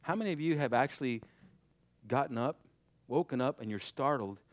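Preacher swinging from shouts to hush. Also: male voice, medium pitch, expressive, fairly quick, dry recording. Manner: swings from shouted exhortation to hushed, intimate delivery. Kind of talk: sermon.

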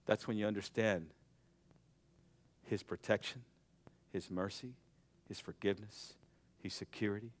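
A young adult man preaching a sermon, swinging from loud, shouted exhortation to quiet, intimate passages. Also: on the low side, fairly even intonation, unhurried.

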